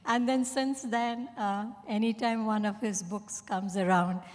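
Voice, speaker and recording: A woman's voice: medium pitch, steady tone, measured pace, darkish tone. A woman telling anecdotes conversationally as a speaker at a live literary event, chuckling as she talks. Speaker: book editor, panel speaker. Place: large indoor event hall. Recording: echoey hall with long reverb.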